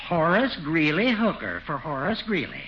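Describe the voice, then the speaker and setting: An actor playing a sly, teasing old judge with a florid, oratorical manner in a 1940s radio comedy. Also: male voice, on the high side, big pitch swings, normal speed. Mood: grandiose. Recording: muffled, hissy 1940s radio recording.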